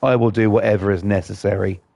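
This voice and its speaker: A man hosting casual gameplay commentary, blunt and defiant, his voice croaky from a cold. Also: fairly low pitch, fairly even intonation, quick pace, a little mellow, no room sound. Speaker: gaming channel host.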